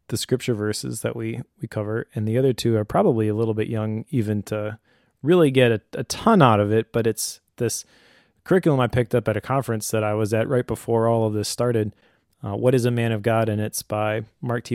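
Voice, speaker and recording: A man's voice: mid-range voice, fairly even intonation, normal speed, darkish tone, clean tone, no room sound, studio quality. A man in his thirties chatting casually as a co-host and father, explaining his advice in a conversational way.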